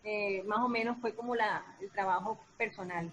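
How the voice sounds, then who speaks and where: A woman's voice: medium pitch, fairly even intonation, moderate pace, slight background noise, rough recording. A woman talking casually and expressively as an actress, searching for words and repeating herself. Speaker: radio theatre actress. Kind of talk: casual talk about creative process.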